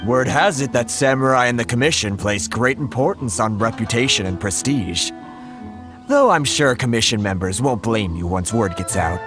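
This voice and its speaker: A man playing a polite, shrewd merchant negotiator in a video game, speaking in a measured, courteous way. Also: mid-range voice, expressive, lightly acted. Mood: coolly pointed. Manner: measured, courteous, veiled pressure. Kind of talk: video game cutscene acting. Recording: music under the voice. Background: background music.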